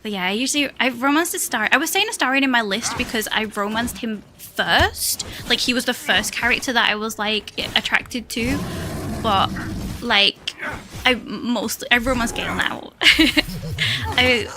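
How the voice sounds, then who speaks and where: A woman with a high, somewhat raised voice chats shyly and hesitantly as she streams a game. She trails off, restarts and lets out small laughs. Steady background noise and mouse clicks sit under her desk-mic sound. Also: measured pace. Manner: shy, hesitant, rambling with fillers and little laughs. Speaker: live streamer. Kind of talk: casual chat while playing a game.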